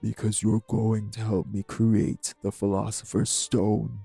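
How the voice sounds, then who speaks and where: A man playing an arrogant, obsessive vampire villain in an audio drama, with a dark, raspy voice and grandiose, measured menace. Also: fairly low pitch, monotone, no room sound, faint music behind, full character voice. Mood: menacing. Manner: grandiose, formal, measured threats. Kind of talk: dramatic audio roleplay acting.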